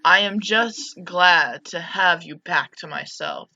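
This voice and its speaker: A woman's voice: fairly low pitch, slow, bright, forceful tone, no room sound, lo-fi sound, heavily acted. A woman voicing a possessive, manipulative mother from a visual novel in a controlled, cloying manner. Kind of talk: visual novel dialogue read aloud.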